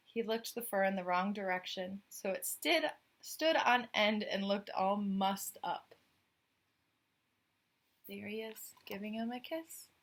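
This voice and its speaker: Bright-voiced woman reading a picture book aloud. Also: on the high side. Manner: animated, questioning asides to young listeners. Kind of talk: expressive children's read-aloud with chatty asides.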